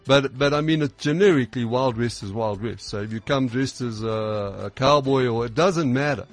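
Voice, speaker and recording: A man, a festival organiser interviewed on radio, halting with many restarts. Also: mid-range voice. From South Africa.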